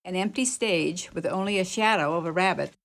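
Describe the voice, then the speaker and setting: A woman's voice: lowish voice, fairly quick, a bit bright. A woman narrating a radio play, reading out scene headings and stage directions in a scripted style.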